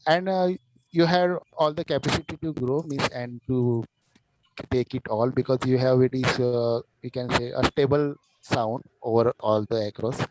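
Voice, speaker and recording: Halting Indian-accented man, slightly noisy call. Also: medium pitch. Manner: halting, searching for words, repeats himself. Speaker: speech evaluator at a public speaking club. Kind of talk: spoken feedback, unscripted. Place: online video call.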